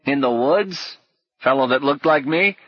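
A man's voice: medium pitch, measured pace, no echo, highs cut off, voice pushed up, natural voice. A man voicing a vague, befuddled, polite knight in an audiobook, with clipped, dithering, chummy old-fashioned phrasing. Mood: chatty, puzzled. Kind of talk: audiobook character acting.

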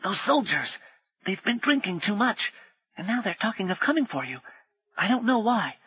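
A man voicing a small, nervous, streetwise potboy who talks in a slangy, hushed whisper. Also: high voice, sing-song, moderate pace, husky, no echo, muffled recording, voice pushed up, full character voice.

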